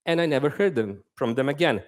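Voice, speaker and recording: A man's voice: fairly high pitch, expressive, brisk. A young man giving a conference talk on stage, conversational and self-deprecating. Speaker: conference speaker, game narrative designer.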